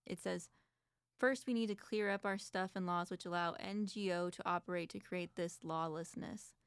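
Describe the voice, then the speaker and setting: A woman's voice: lowish voice, fairly even intonation, normal speed, smooth, dry recording, studio quality. A woman co-hosting an advocacy talk show, chatting casually and reading documents aloud with commentary. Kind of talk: casual discussion.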